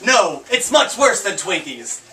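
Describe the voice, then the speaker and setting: An earnest young heroine, voiced by a puppeteer who stumbles over her words, her pitch lively and varied. The sound comes from away from the mic, with clear noise behind. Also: unhurried, recorded from a distance, full character voice. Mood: urgent. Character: brave young heroine on a rescue.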